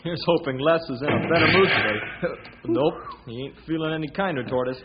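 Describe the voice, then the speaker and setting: An actor playing a wry, doubtful ranger's sidekick with a folksy way of talking, in an old-time radio western. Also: male voice, fairly high pitch, fairly quick, lightly acted. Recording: noisy, dull old radio recording.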